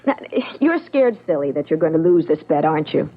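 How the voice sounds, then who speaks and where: An actress playing a defiant, sharp-tongued young woman, firm and indignant, in old-time radio drama style. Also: low voice, sing-song, fairly quick, full character voice. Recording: dull, muffled old radio sound.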